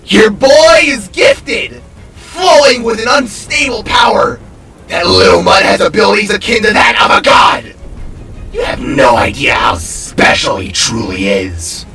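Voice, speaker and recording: A man voicing a massive, manic glitch villain in an audio story, gleefully erratic and theatrical, taunting with drawn-out exclamations. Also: high voice, sing-song, vocal fry, sharp tone, raised voice, distant mic, soft background music, pitched above the performer's own voice, heavily acted. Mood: menacing gloating.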